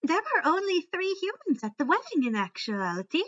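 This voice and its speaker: A woman's voice: extremely high pitch, huge pitch swings, brisk, fairly bright tone, lo-fi sound, pitched above the performer's own voice, heavily acted. A woman voicing a dreamy, cheerful fairy girl who talks in an airy, sagely informative way.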